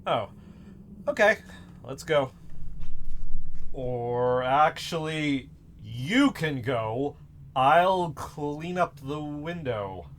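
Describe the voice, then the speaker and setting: A man's voice: a little high, animated, very slow, long pauses, slight background noise, heavily acted. An actor playing a nervous, evasive young man who stammers and rambles hesitantly in a naturalistic audio drama. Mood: nervous, stalling. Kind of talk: naturalistic fiction podcast acting.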